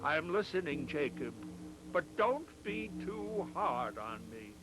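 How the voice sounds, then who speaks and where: An anxious, bewildered miser, put on by a male narrator for a storybook read-along. He pleads and questions politely in a raised voice, with music clearly playing behind him. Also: a little high, very animated, slow, voice pushed up, poor audio. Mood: frightened pleading.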